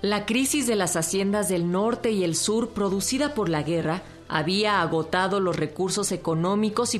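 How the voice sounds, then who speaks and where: A woman with a Mexican accent reading scripted documentary narration for radio. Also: fairly low pitch. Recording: prominent string music underneath.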